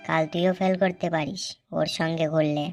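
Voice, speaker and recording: Woman's voice over loud music. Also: sits low, steady tone, brisk. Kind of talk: cartoon comedy dialogue voicing several characters. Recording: loud music behind the dialogue.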